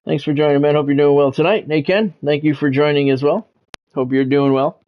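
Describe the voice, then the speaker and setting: A man hosting a game livestream, talking sports to his viewers in measured, announcer-like phrases. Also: fairly high pitch, steady tone, narrow, tinny audio. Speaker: game streamer and sports commentator, former radio play-by-play announcer. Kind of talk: livestream monologue, sports talk to chat viewers.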